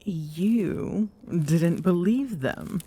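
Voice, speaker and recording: A woman acting as a towering Amazon queen in an ASMR romance roleplay. Her voice is soft-edged and breathy, slightly low, and she takes her time. She teases and commands with open affection, swinging expressively and often slipping toward a whisper. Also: animated, full character voice. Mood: teasing, coaxing. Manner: teasing, halting, affectionate, often dropping to whispers. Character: tall, smitten Amazon queen, teasing and commanding.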